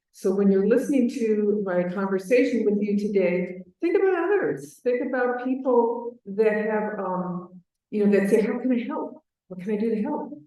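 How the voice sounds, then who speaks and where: Booming woman speaking in an echoey hall. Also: mellow. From Chicago, US Midwest. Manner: earnest, emphatic, repeats key phrases. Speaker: advocacy program manager, conference speaker. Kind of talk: presentation to a conference audience. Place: large echoey conference room. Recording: very echoey hall, voice far from mic.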